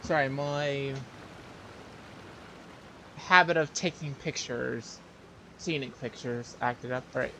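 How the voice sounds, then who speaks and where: A man's voice: medium pitch, slow pace, long pauses, pushed and bright, poor audio. A man doing casual live game commentary as a streamer, musing to himself in short remarks. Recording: live stream with clear background noise.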